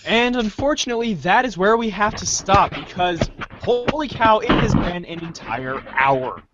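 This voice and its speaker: Animated man narrating, dull dry recording. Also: high-pitched, moderate pace, background noise. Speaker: host and narrator. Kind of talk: fan readers' theater narration.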